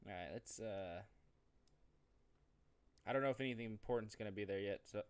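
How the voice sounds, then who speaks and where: A man's voice: on the low side, a little fast, smooth. A man hosting a let's-play, talking casually with rambling, jokey asides and reading on-screen text aloud. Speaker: host of a gaming show.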